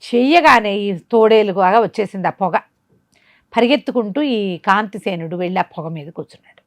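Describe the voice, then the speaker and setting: Female storyteller, medium pitch, conversational bedtime-tale delivery with explanatory asides, dry close recording. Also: lively intonation, moderate pace, no room sound. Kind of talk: animated oral bedtime storytelling.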